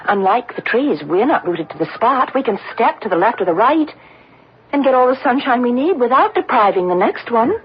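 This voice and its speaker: An actress playing a gentle, thoughtful, timid wife, speaking politely and earnestly in an old-time radio drama. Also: female voice, fairly high pitch, very animated, moderate pace, slightly rough, exaggerated voice. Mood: gently philosophical. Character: gentle, thoughtful, timid married woman with philosophical bent. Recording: muffled vintage radio recording.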